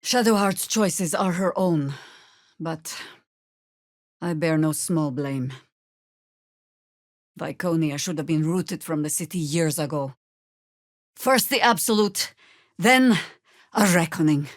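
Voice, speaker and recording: World-weary older druid warrior, lowish pitch, unhurried, dry sardonic wit, commanding, close dry game audio. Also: female voice, no room sound. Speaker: voice actor in a video game. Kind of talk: scripted video game character lines.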